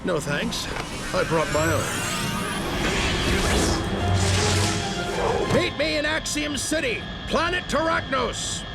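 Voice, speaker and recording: A man voicing a gruff older alien general in a video game, bold and commanding, with an urgent manner. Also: very high voice, big pitch swings, a little slow, over loud music, full character voice. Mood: resolute.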